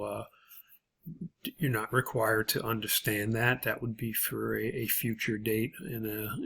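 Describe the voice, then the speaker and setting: A man narrating an unscripted, step-by-step spreadsheet tutorial as an instructor, in a halting way. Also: on the low side, a bit bright.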